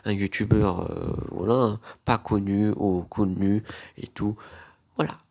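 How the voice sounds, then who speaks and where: A man's voice: lowish voice, fairly even intonation, a little slow, gravelly, a little mellow. A young man with a cold, rambling casually to camera as a YouTuber, with lots of fillers and repetitions. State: has a cold.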